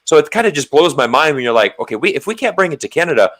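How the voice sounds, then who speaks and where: A man's voice: fairly high pitch, very animated, very fast, a bit bright. A man from Florida with an American accent, chatting casually as a livestream co-host, emphatic and repeating words for stress. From Florida, United States. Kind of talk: casual livestream political chat.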